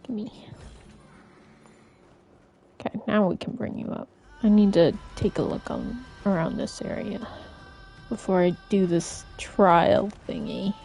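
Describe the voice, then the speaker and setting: Slow, casual woman game streamer. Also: medium pitch, wildly swooping pitch, creaky, warm tone, soft background music. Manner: halting, rambling, informal. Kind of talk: casual live-stream chat while playing.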